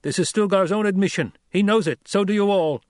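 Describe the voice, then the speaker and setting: A man voicing a young male desert leader who speaks forcefully and questions his listeners rhetorically, in an audiobook. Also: sits high, a little fast, no room sound, clean studio sound, pitched above the performer's own voice, lightly acted. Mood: commanding. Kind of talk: audiobook character voice.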